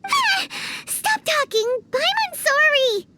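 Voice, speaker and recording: A woman voicing a tiny, cheeky, petulant female fairy companion in a video game, with a squeaky childlike voice and cute, blunt manner. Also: very animated, slow, very noisy, exaggerated voice. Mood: flustered. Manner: cute, blunt, refers to self in third person.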